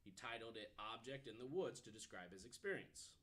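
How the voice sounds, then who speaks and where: A man's voice: medium pitch, expressive, brisk, fairly bright tone. A man on a podcast panel telling a story casually, hedging often with "you know" as he explains.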